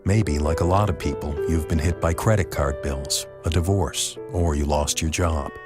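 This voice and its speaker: A male voice-over announcer with a very deep voice, delivering a scripted commercial. His read is earnest and reassuring, with clear music playing underneath. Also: fairly even intonation, moderate pace.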